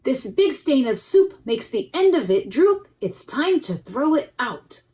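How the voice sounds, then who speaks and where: A woman's voice: high voice, sing-song, a little slow, a little mellow, husky, pitched above the performer's own voice, full character voice. A woman voicing a practical, scolding mother in a storybook, firm and emphatic. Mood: firm, disapproving.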